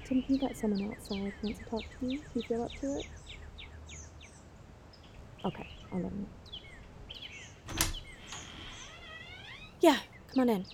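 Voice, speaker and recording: A woman playing a calm, obliging camp nurse in a naturalistic audio drama, casual and matter-of-fact. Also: a little high, measured pace, pauses often, background noise.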